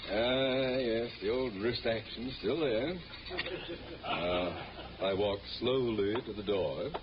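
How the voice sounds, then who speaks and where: A man's voice: lowish voice, slow. An actor playing a pompous, scheming middle-aged school principal and father in a theatrical old-time radio comedy style. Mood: smug. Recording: muffled, noisy old radio broadcast.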